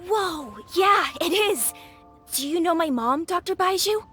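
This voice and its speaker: A woman's voice: extremely high pitch, lively intonation, unhurried, a bit bright, heavily acted. A woman playing a worried, earnest young boy in a video game. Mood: surprised. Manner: earnest, childlike. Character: worried young boy seeking his forgetful father. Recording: music behind the voice.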